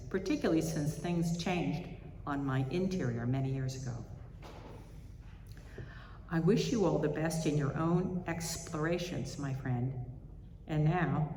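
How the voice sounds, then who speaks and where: A woman with a low voice speaks as if leading a reflection at a chapel service. She reads in a measured, deliberate and contemplative manner. The room is echoey, with faint noise behind her. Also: dark tone. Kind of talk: reading aloud from spiritual writings to a congregation. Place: large indoor chapel or hall. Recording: echoey hall with reverb, faint background noise.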